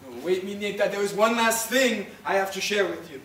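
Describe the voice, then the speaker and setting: Man acting old Puerto Rican grandfather, proud storyteller, earnest, stage play, high pitch, distant noisy recording. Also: fairly bright tone. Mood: gentle, insistent. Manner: deliberate, storytelling, slips into Spanish. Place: indoor stage, recorded from far off. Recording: roomy, distant theatre recording, faint noise.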